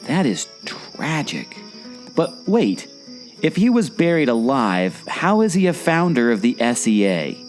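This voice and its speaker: A man's voice: medium pitch, big pitch swings, a little slow, faint music behind. A man playing a naive, curious sidekick with a taste for corny jokes in a scripted audio drama. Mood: puzzled. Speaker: curious companion.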